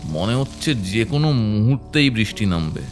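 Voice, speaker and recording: A man's voice: on the low side, animated, normal speed, soft background music. A man narrating a scripted first-person horror story.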